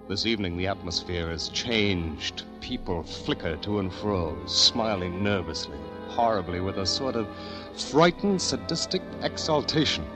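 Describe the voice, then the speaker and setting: An actor playing a lovesick, anxious young man who pleads haltingly in the emotional style of 1940s radio drama. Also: low voice. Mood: dread. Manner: halting, emotional, repeats himself when agitated. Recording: old radio recording, faint music.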